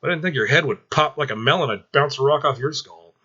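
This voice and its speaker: A man's voice: medium pitch, brisk, sharp tone, breathy edge, highs cut off, voice pushed up. A man voicing a blunt, hot-headed, cocky teenage boy in a story read aloud. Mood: annoyed.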